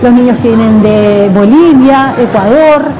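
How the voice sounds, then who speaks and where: A woman's voice: fairly high pitch, a little slow, poor audio. A woman answering interview questions as a school principal. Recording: music and busy public noise behind. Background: music, people, outdoor bustle.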